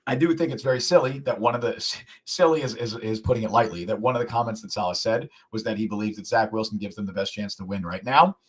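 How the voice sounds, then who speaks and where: A man co-hosts a sports podcast with a voice gone husky and hoarse from the weekend. He talks at a rapid clip and repeats phrases for emphasis. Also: fairly low pitch. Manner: fast, emphatic, repeats himself for stress. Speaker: podcast co-host.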